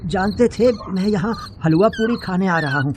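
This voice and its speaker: A man's voice: sits high, normal speed, close to an everyday voice. Man acting weary, grumbling peasant farmer, noisy night ambience. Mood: grumbling, teasing. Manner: plain rustic grumbling, talks fondly to his dog. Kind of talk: dramatised audio storybook. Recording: noisy, with crickets and animal sounds.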